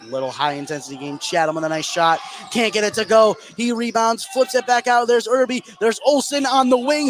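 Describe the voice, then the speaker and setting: A man doing excitable live play-by-play of high school basketball in short running phrases. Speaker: play-by-play sports commentator.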